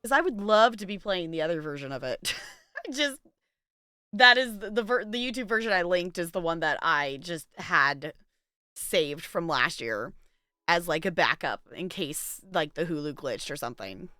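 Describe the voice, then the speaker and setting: Animated, bright-voiced woman streamer chatting. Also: lowish voice, normal speed, no room sound, studio quality. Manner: chatty, rambling, giggly. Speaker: live game streamer. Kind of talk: casual chat with stream viewers.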